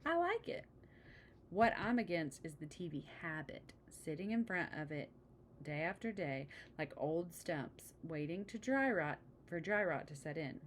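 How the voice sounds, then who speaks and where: A woman's voice: fairly low pitch, big pitch swings, a little slow, slight background noise. A woman reading the part of a firm, sensible mother bear in a storybook. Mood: emphatic.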